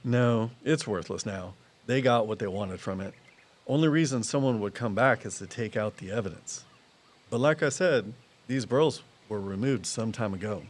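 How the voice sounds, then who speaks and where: An actor playing a guarded, loyal tree expert in an audio drama, speaking in a plain, matter-of-fact way. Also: male voice, fairly low pitch, moderate pace, close to an everyday voice. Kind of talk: naturalistic audio drama dialogue.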